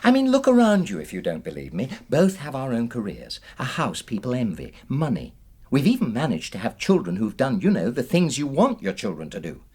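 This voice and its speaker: An actor playing an aggrieved, defensive middle-aged British husband in a radio drama, indignant and self-justifying. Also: male voice, mid-range voice, wildly swooping pitch, brisk, a little mellow, breathy edge. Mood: bitter, boastful.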